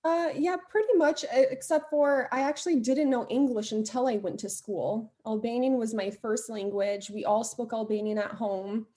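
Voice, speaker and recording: A woman's voice: a little high, steady tone, moderate pace, few pauses, warm tone. A young American woman, a podcast guest, telling stories about her childhood in an upbeat, chatty way. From United States. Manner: upbeat, laughs often while telling stories. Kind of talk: casual interview chat.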